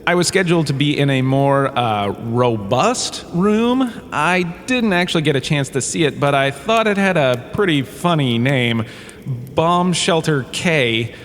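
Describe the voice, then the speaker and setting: A man's voice: fairly high pitch, animated, unhurried, a bit bright. A man playing a washed-up, self-important holodrama star who gives rambling, breezy, self-excusing comedic lectures in an audio drama. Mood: wry, self-satisfied. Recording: cavernous reverb with faint background noise.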